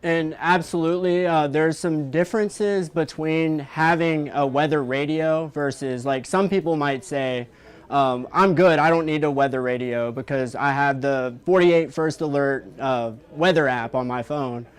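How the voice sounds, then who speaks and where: A young man hosting a live news interview, asking questions in measured, hesitant phrases. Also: a little high, clean tone. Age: young adult. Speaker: digital news host, interviewer.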